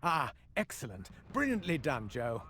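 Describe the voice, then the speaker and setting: An actor playing a well-spoken, genial senior military adviser in a war game, giving polite, articulate briefings. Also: male voice, mid-range voice, wildly swooping pitch, moderate pace, a little hiss or hum. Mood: brisk. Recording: loud music under the voice.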